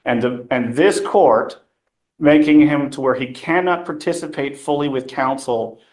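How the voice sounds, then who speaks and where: A man's voice: mid-range voice, unhurried, darkish tone. A defense attorney, a man, arguing before the bench in a courtroom. He talks in formal legal terms and keeps pressing his point, with frequent ums between phrases.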